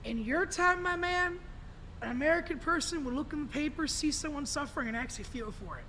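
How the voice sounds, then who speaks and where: A man with a notably high voice holds forth in casual podcast talk, rambling and emphatic, swearing freely. A faint hiss of background noise sits under the recording. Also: a little fast, sharp tone. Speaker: podcast guest.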